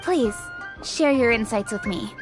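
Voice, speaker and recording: Poised, higher-voiced young official. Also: female voice, lively intonation, unhurried. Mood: composed. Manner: composed, articulate, polite. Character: poised, diligent young woman official, courteous and composed. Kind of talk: video game character acting. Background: faint music.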